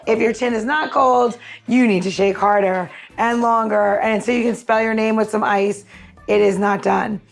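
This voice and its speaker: A woman's voice: a little high, steady tone, moderate pace, vocal fry. A woman co-hosting a podcast in a casual, chatty, playful way, walking through things like a bartender. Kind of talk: casual chat with recipe walk-through.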